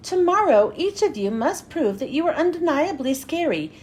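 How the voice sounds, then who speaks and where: A woman's voice: high voice, sing-song, normal speed, darkish tone, a little hiss or hum. A woman voicing a stern, haughty female monster dean from a storybook, speaking formally and severely.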